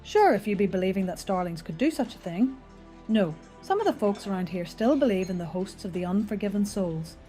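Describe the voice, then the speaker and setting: A sweet-voiced young Irish barmaid, as a male narrator puts her on, her brogue smooth and dripping with honey. She speaks well above his own deep register, teasing and theatrical, over soft background music. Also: lively intonation, fairly quick. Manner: playful, dramatic flair.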